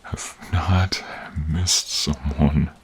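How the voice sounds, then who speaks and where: A man's voice: steady tone, very slow, full character voice. A man voicing a protective, tender dragon in human form, speaking earnestly and with feeling in an audio role-play. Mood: tender, weary. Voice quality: deep, husky, breathy. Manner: earnest, emotional, caring.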